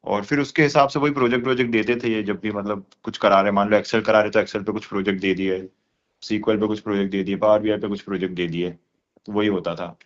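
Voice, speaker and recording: A man's voice: fairly low pitch, fairly even intonation, fast. A young man explaining things colloquially in a casual phone conversation, with many filler words. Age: young adult. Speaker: course student being interviewed. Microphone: phone call. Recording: dull lo-fi phone call recording.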